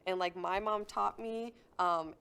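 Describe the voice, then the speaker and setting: A woman's voice: medium pitch, steady tone, measured pace, gravelly, a bit bright, a little hiss or hum. A woman in a confessional interview, reasoning through clues. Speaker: game show contestant.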